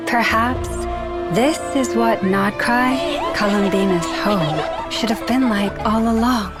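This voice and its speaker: A woman's voice: fairly high pitch, takes it slowly. A woman voicing a serene, kindly, dignified priestess in a video game, speaking formally and with composure. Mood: serene, pleased. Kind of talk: scripted video game acting. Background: music.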